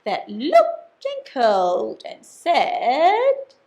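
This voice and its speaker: Very animated, high-voiced woman storyteller. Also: very slow. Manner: sing-song, expressive, coaxing questions to small children. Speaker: children's story-time teacher. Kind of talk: read-aloud storytelling for young children.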